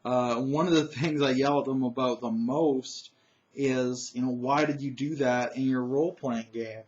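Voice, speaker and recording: A man with a naturally loud voice talking alone about tabletop gaming, emphatic and opinionated, with frequent "uh"s and restarts. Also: mid-range voice, dull audio. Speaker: gaming commentator. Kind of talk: solo opinion monologue.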